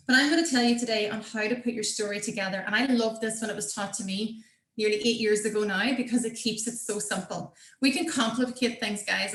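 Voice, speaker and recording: Irish woman, enthusiastic coach on a team call, fairly high voice, roomy sound. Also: fairly bright tone. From Ireland (Donegal). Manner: enthusiastic, upbeat. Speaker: marketing director giving a team training. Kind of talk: motivational training call.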